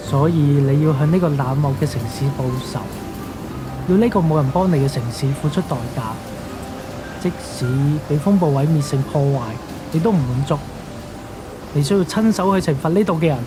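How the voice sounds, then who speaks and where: An actor with a Hong Kong Cantonese accent playing an earnest, righteous police investigator, stern and moralizing in a radio drama. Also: male voice, on the high side, a little fast, music in the background, natural voice, rough recording. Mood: accusing.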